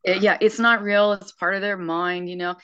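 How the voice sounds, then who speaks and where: A woman's voice: medium pitch, steady tone, normal speed, a bit bright, smooth, dry recording, lo-fi sound. A sixty-year-old woman speaking earnestly and emphatically in casual conversation, often restarting her sentences. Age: 60s.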